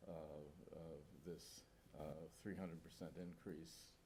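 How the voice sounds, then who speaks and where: Halting man speaking at a town meeting. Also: rumbling low, little pitch movement, dark tone, slight background noise. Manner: halting, many fillers and restarts. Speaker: select board member. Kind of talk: public meeting discussion.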